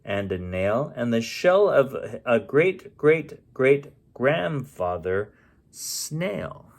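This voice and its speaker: A man reads a rhyming children's storybook aloud at a very unhurried pace. His mid-range voice rises and falls with lively expression, and he sometimes trips on a word and corrects it. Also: medium pitch. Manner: unhurried, stumbles and corrects himself. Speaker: bedtime story reader and host.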